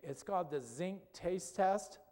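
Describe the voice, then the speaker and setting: A man's voice: sits high, expressive, a little slow, a little mellow. A man giving a health lecture to an audience in a church, talking conversationally, quizzing the listeners and ending points with "okay?". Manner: conversational, asks the audience questions, tag "okay?". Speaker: doctor giving a health talk. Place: church hall. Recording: long hall reverb.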